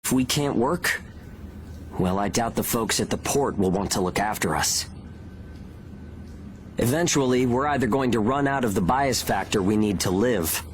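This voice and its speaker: An actor playing a calm, earnest and determined young male monster-hunting soldier in a video game cutscene. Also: mid-range voice, a little hiss or hum, natural voice. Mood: calm, casual. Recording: music behind the voice.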